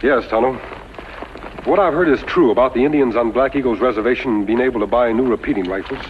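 A man's voice: mid-range voice, fast, lightly acted. A man playing a noble, resolute western hero in a 1950s radio drama, with an earnest, declamatory delivery. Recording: dull 1950s radio recording, faint noise.

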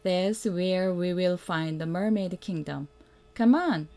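Slow, mellow woman reading to children. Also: on the low side. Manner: simple, deliberate, prompting the listener with questions. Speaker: mother reading a picture book to children. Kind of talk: read-aloud children's storytelling.

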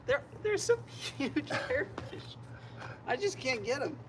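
A man's voice: very high voice, sing-song, a little slow. A man fishing by a canal, calling out brief offhand remarks from a distance during a livestream. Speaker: fisherman on the livestream. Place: outdoors beside a canal. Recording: distant from mic, loud outdoor noise.

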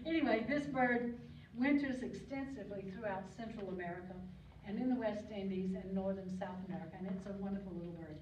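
An older woman giving an informal slide talk at a podium, chatty and digressive, with frequent ums and throat clearing. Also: mid-range voice. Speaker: guest speaker on local birds. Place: lecture hall. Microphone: podium mic, recorded by a far camera. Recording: distant echoey hall recording, muffled, noisy.

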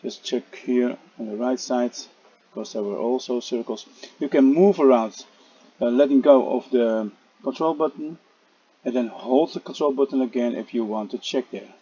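A man narrating a casual gameplay tutorial, speaking haltingly with frequent "uh" fillers and restarts. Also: medium pitch, warm tone, breathy edge, highs cut off. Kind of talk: casual game tutorial voice-over.

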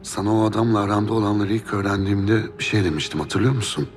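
Actor as a deepish, quick-spoken, resigned man. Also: fairly even intonation, faint music behind, natural voice. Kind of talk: television drama acting.

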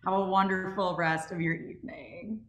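A woman's voice: mid-range voice, steady tone, moderate pace. A young adult woman casually hosting an event over a video call. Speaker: host. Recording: roomy, noisy video call.

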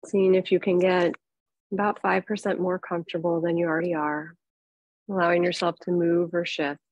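A woman leads a guided relaxation as a meditation teacher. Her voice sits somewhat low, and she speaks in an unhurried, soothing way, leaving long pauses between phrases. The sound is very dry, free of room reflections. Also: little pitch movement, no room sound, highs cut off. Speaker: yoga nidra meditation teacher.

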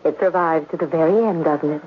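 A woman's voice: low-pitched, brisk, lightly acted. An actress playing a stern, haughty woman who speaks formally and condescendingly in a 1970s radio drama. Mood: cool, menacing. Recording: dull, noisy vintage radio recording.

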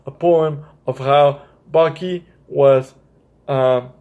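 A man's voice: on the high side, fairly even intonation, very slow, narrow, tinny audio. A young man reading a picture book aloud for children and chatting about it, often stumbling and repeating his words. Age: young adult. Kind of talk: read-aloud story time for children, with informal commentary. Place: small bright room indoors.

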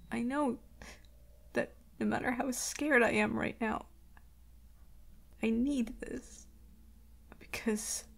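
A woman playing an anxious, tender werewolf girlfriend in an intimate ASMR roleplay, stammering and hesitant in a breathy half-whisper. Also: on the high side, heavily acted. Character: anxious, tender werewolf girlfriend, scared of hurting her partner.

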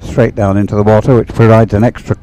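A man giving chatty live sports commentary in a halting, unhurried way, as if thinking aloud. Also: on the low side, level tone, mellow, poor audio.

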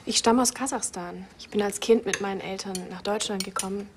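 An actress playing a frightened young woman witness from Kazakhstan, soft and hesitant, slipping into whispers. Her voice sits at a middling pitch. Faint room noise lies behind her. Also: steady tone, fairly quick, close to an everyday voice. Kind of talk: TV crime drama acting.

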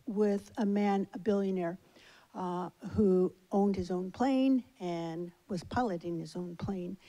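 Middle-aged woman, audience comment, warm low-key tone, handheld mic, big hall. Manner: measured storytelling with fillers. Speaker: audience member. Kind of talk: public comment at a lecture.

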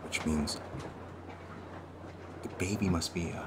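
A man playing an anxious, earnest teenage boy in a fantasy audio drama, speaking haltingly. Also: sits low, noisy background. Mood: anxious, hesitant. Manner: hesitant, halting, stammering.

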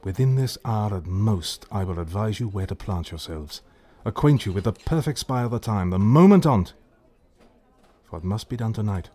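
A man's voice: on the low side, expressive, normal speed, warm tone. A man playing a brooding, scheming usurper king in a classical Shakespearean radio drama. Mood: cold, plotting.

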